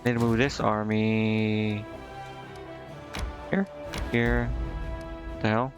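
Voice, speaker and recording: A man's voice: lowish voice, little pitch movement, slow pace. A man doing casual live game commentary, thinking aloud to himself in slangy, indecisive mutters. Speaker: game streamer. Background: game music.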